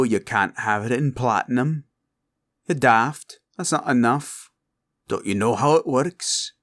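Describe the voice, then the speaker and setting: A man playing a greedy, menacing green dragon in a villain roleplay audio, gravelly and drawling as he gloats and haggles. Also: fairly low pitch, exaggerated voice. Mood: irritated, haggling. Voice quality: gravelly, creaky. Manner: slow, drawling, grumbling and haggling.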